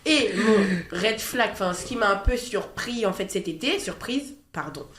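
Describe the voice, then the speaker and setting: A young woman hosts a relaxed podcast chat. Her voice sits at an average female pitch, and she talks in a slangy, emphatic way. The room around her adds clear echo. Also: normal speed, over a noisy crowd. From Guadeloupe. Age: young adult. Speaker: podcast host. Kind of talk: casual chat. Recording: echoey room.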